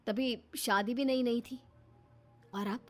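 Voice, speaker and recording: A woman's voice: fairly high pitch, steady tone, slow, soft background music. A woman playing a fed-up young husband in a cartoon story, stern and reproachful. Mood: resolute. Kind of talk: animated Hindi story acting.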